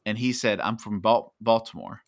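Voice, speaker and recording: A man's voice: on the low side, fairly even intonation, moderate pace. A man from Texas co-hosting a casual show, telling stories with an upbeat, easy-laughing manner. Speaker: co-host. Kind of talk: casual chat.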